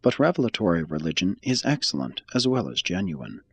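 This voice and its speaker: A man reading a religious text aloud as an audiobook, in a measured, solemn and formal manner. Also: deep voice, sing-song, husky. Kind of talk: scripted read-aloud, audiobook narration.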